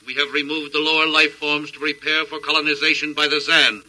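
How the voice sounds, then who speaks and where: A sharp, metallic-bright emotionless alien conqueror. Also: male voice, fairly high pitch, brisk, low-quality recording. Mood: cold, formal. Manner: flat, formal, stilted precise phrasing. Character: emotionless male alien conqueror, precise, literal-minded, thousands of years old. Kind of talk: old radio drama acting.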